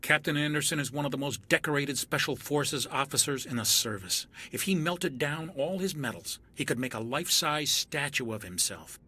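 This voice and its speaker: A wary young soldier. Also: male voice, a little high, lively intonation, moderate pace, hardly any pauses, pushed and bright, slightly rough, exaggerated voice. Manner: earnest, suspicious, level-headed. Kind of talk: video game cutscene acting.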